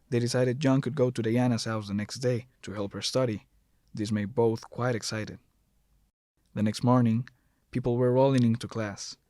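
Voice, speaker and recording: A man's voice: medium pitch, darkish tone, no echo, clean studio sound. A man reading scripted third-person narration for a dramatic audio story.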